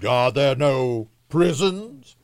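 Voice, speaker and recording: A man's voice: medium pitch, animated, takes it slowly, no echo, studio quality, pitched above the performer's own voice. A man voicing a solemn, sorrowful spirit who warns of doom in a grave, emphatic storybook reading. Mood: scornful. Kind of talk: dramatised classic audiobook reading.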